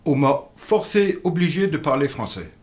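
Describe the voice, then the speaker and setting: An older man with a fairly high voice tells old village tales in a measured, earnest way, stopping and restarting now and then. He grew up speaking a regional dialect and is being interviewed in a radio studio. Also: sing-song, darkish tone. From Moselle, France. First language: Alsatian-Lorraine Franconian dialect. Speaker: interview guest. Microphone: studio microphone.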